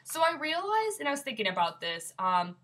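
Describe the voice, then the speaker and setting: A woman's voice: high voice, sing-song, fairly quick, a bit bright. A young woman with a congested, stuffy voice, chatting casually and rambling with lots of fillers as she tells a ghost story to a webcam. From Michigan. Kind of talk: casual video submission.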